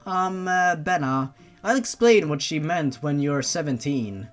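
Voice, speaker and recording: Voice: mid-range voice, expressive, unhurried, faint music behind, close to an everyday voice. Narrator as a flustered, hesitant monster uncle. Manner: hesitant, stammering.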